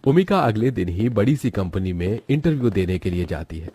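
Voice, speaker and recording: A man's voice: on the low side, very animated, moderate pace, warm tone, breathy edge, soft background music. A man narrating a scripted moral story as a storyteller.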